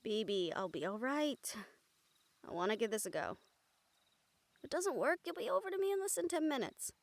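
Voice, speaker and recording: A woman playing a wry, gentle recruiter of supernatural outcasts in an intimate audio roleplay, teasing and earnest in manner. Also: high voice, very animated, normal speed, vocal fry, dry recording, voice pushed up, heavily acted. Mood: affectionate teasing.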